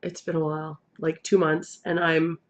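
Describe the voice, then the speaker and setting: A woman's voice: low voice, little pitch movement, normal speed. A woman in her thirties hosting a knitting video podcast from her couch, talking casually with lots of ums, sniffly from a cold. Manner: rambling, hesitant with many ums, self-deprecating. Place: living room, seated on a couch indoors. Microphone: webcam at desk distance.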